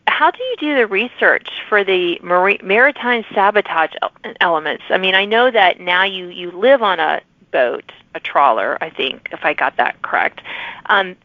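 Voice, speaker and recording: A woman's mid-pitched voice comes through a narrow, radio-like channel with no room sound. She hosts a podcast interview in an effusive, conversational style. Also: lively intonation, normal speed, dry recording. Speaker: podcast host and author. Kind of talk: casual interview chat.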